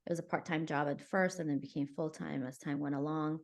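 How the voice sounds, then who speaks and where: Woman, forties, low voice, heavy vocal fry, warm life story, podcast guest on a call. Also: steady tone, a little fast. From Afghanistan, raised in New York City. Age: 40s. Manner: warm, reflective, laughs while telling her story. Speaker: guest contributor. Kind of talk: conversational personal story.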